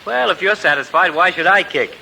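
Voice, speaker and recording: An actor playing a chatty, wisecracking small-town barber in a folksy way for 1940s radio comedy. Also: male voice, high voice, expressive, a little fast, vocal fry, pushed and bright, heavily acted. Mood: folksy, teasing. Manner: folksy, ungrammatical, needling. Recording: faintly noisy 1940s radio recording.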